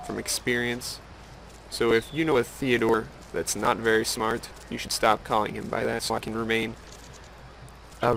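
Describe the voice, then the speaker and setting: A man's voice: medium pitch, gravelly, a bit bright, slight background noise. A man giving casual, rambling game commentary with musing, wry asides to the viewer. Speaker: gameplay video host.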